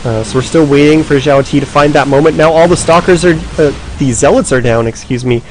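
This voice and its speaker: A man giving live esports commentary, explaining the play in a steady, analytical way. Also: a little high, brisk, a little hiss or hum, low-quality recording.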